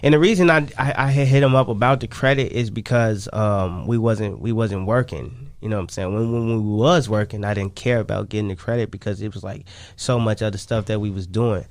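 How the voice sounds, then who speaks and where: A man's voice: on the low side, fairly quick, hardly any pauses, no echo. A man talking casually as a guest in a radio interview, rambling and repeating himself with slang tags. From Chicago, United States. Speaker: music artist and songwriter, interview guest.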